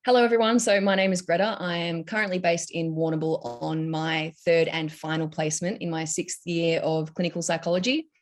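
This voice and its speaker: A young woman with a notably low voice speaks on a video-call student panel. She introduces herself in a friendly, brisk way. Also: fairly even intonation. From Australia. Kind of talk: casual self-introduction.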